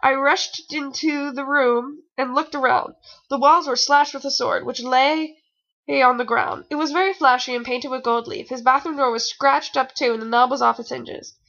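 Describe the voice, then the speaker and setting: A woman's voice: high voice, steady tone, moderate pace, a bit bright, muffled recording. A young adult woman giving a mocking amateur fan fiction reading with rambling, exasperated, theatrical asides, sounding a bit tired. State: tired, sore nose.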